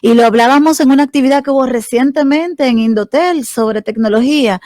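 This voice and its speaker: A woman's voice: sits high, a little fast, darkish tone, no room sound. Dominican woman guest, dry close sound. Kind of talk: radio interview.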